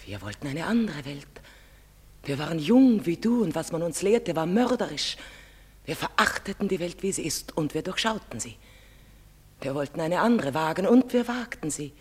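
A solemn, low-voiced sorrowful mother. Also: big pitch swings, a little mellow, husky, heavily acted. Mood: wistful, tender. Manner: solemn, measured, emphatic, theatrical. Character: proud, sorrowful middle-aged foreign mother, secretive and intense. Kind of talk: German stage-drama acting. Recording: long reverberant hall sound.

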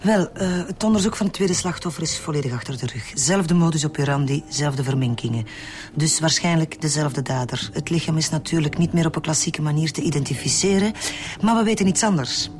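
An actress playing a clinical, composed forensic doctor in a radio drama. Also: female voice, deep voice, fairly quick, a little mellow, close to an everyday voice.

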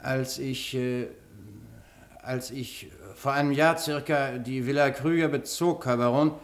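A male actor gives us a servile little informer with literary airs. He speaks at a medium pitch, hesitating and deferring, slipping insinuations in politely. It is classic German radio drama acting. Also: steady tone, measured pace, natural voice. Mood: evasive. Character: obsequious, evasive male informer, a shabby writer.

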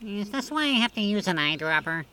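A man's voice: high voice, animated, fairly quick, bright, forceful tone, slight background noise. A man voicing a naive, eager little apprentice in a comic radio skit. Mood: eager, nervous.

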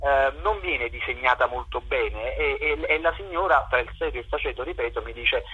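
A man's voice: medium pitch, a little fast, slightly rough, phone-quality sound. A man giving a radio interview as a theatre director, talking expansively and searching for words in long winding sentences. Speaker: guest, theatre author, director and actor. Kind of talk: radio interview, spoken off the cuff.

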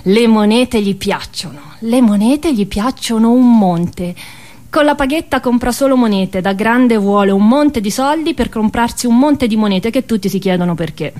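A woman's voice: a little high, moderate pace, darkish tone. A woman with a Tuscan accent tells a first-person story as an actress in a stage monologue.

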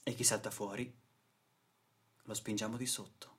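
A man's voice: lowish voice, flat delivery, normal speed, a bit bright, breathy edge. A man performing a scripted theatrical monologue for radio, in a rhetorical, declamatory manner.

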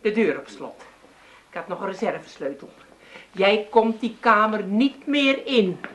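A woman's voice: medium pitch, big pitch swings, unhurried. A woman playing a bossy, fussing, exasperated sister in a comic radio drama, scolding. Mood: determined, scheming.

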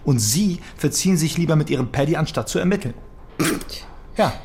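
A man's voice: fairly high pitch, expressive, fairly quick, darkish tone, faint music behind. Cheeky, needling male detective. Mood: needling, probing. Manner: probing, sardonic, with small interjections. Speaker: police detective. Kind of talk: German radio crime drama acting.

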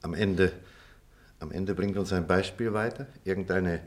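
An actor playing a reflective middle-aged former pupil, telling memories in a measured, expressive radio-play style. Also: male voice, deep voice. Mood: earnest. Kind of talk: theatrical radio play dialogue and storytelling.

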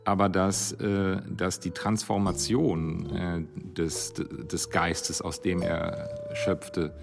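Very deep, creaky, searching male commentator. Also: little pitch movement, music in the background. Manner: halting, searching, repeats phrases. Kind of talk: spontaneous reflective discussion.

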